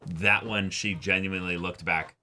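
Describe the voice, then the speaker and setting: Male reaction-show co-host, lower-pitched with a bright, punchy edge, in casual discussion. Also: steady tone, normal speed. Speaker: co-host of an anime reaction channel. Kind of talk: casual discussion of an anime episode.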